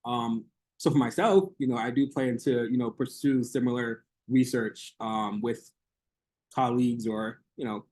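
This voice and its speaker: A man's voice: mid-range voice, a little slow, darkish tone. A man presenting research in an academic Q&A on a video call, talking hesitantly with many fillers and restarts.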